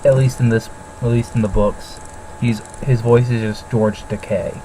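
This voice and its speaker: A man with a mid-range voice chats casually as a co-host, making dry and sardonic comments. The home recording has clear noise behind him. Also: mid-range voice, steady tone, moderate pace. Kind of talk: casual mocking banter.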